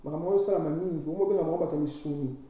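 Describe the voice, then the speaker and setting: A woman's voice: sits low, racing, warm tone. A woman, preaching as a priestess to her online followers in an emphatic, insistent way. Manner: emphatic, preachy, mixes languages freely. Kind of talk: spiritual exhortation to followers. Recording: very echoey room.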